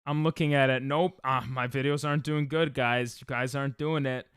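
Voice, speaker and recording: A young man with a New York accent, streaming a game and chatting casually with friends, joking and getting excitable, often trailing off mid-sentence. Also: mid-range voice, normal speed, clean tone, dry recording, studio quality. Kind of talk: casual gaming stream chat.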